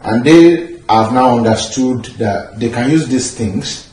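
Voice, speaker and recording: A man interviewing a guest, making his points in a measured way from a sofa in a living room. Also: medium pitch, a little mellow. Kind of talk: conversational interview. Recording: roomy echo, faint room noise.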